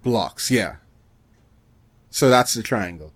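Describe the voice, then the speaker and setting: Man, lowish voice, dry recording, casual gaming podcast chat, halting delivery, rephrases himself. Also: lively intonation, breathy edge, no echo. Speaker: podcast co-host.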